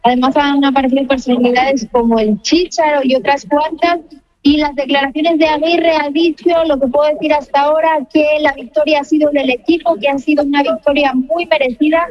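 High-pitched woman reporter, dull lo-fi link. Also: fairly even intonation, fairly quick, no room sound. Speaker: sports reporter. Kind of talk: live on-the-spot report. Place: busy stadium media zone. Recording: dull lo-fi live radio link.

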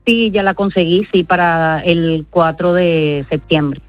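A woman with a Venezuelan accent gives informal interview answers, halting and repeating words as she goes. The sound is dull and close, with no room echo, and faint music sits underneath. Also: fairly low pitch, steady tone. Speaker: interview guest. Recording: dull, band-limited radio-like sound.

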